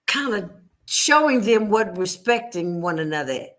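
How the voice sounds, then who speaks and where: A woman's voice: mid-range voice, lively intonation, a little slow. A woman hosting an interview, chatty and affirming as she talks. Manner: chatty, affirming, frequent short agreements. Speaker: host. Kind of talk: casual interview talk.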